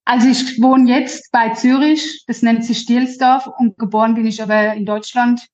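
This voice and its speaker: A woman with a Lower Franconian accent chats cheerfully as a podcast guest, often starting sentences over. Her voice sits fairly high. The recording sounds dull and lo-fi. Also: steady tone, normal speed, darkish tone. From Lower Franconia, Germany. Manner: cheerful, talkative, frequent restarts. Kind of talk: casual interview chat.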